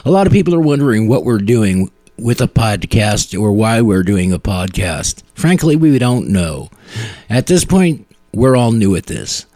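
A man with a fairly low voice speaks close and dry, like a podcast host easing through announcements. His delivery is wry and self-deprecating, casual and tongue-in-cheek. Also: very animated, unhurried, mellow, dry recording. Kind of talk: casual podcast banter, partly scripted announcements.

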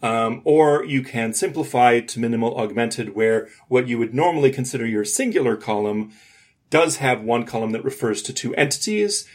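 A man with a husky, rough-edged voice and a mid-range pitch talks as a podcast co-host. He lays out technical points step by step in an informal, explanatory way. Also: lively intonation, normal speed. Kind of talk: informal explanatory discussion of linguistics.

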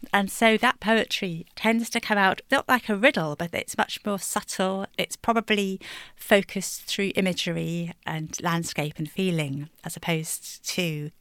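Brief: poet guest, woman from England, lowish voice, dry close sound, reflective and warm. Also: no echo. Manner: warm, thoughtful, laughs lightly between thoughts. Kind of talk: reflective interview answers.

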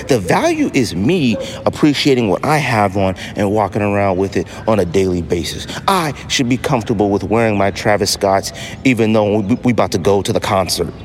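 A man hosting a casual solo podcast, talking emphatically and repeating phrases to drive them home. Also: fairly low pitch. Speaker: podcast host.

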